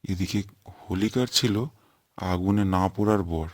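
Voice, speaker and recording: Man acting deep-voiced kindly old grandfather storyteller. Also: fairly even intonation, lightly acted. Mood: patient storytelling. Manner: slow, explanatory storytelling. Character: kindly old grandfather telling festival legends.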